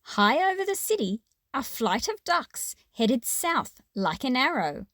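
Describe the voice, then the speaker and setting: A woman reads a storybook aloud at an unhurried pace, her fairly high voice rising and falling with lots of animation. She phrases it expressively for young listeners and explains as she goes. The sound is close and dry. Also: big pitch swings, a bit bright, no room sound. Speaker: children's picture book read-aloud host.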